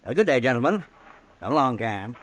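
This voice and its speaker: A man playing a smooth, scheming American businessman villain in an old-time radio western, smug and falsely courteous. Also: mid-range voice, very animated, a little fast. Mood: veiled menace.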